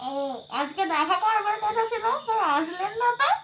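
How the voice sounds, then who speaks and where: A woman's voice: very high-pitched, lively intonation, moderate pace. A woman making a casual prank phone call, playing an innocent stranger. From Malda, West Bengal, India. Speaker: prank caller. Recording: echoey room with faint background noise.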